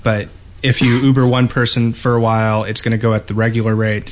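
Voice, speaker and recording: Male podcast host, mid-pitched, explanatory and a bit halting, in relaxed gamer discussion. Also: slight background noise. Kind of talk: casual gaming discussion.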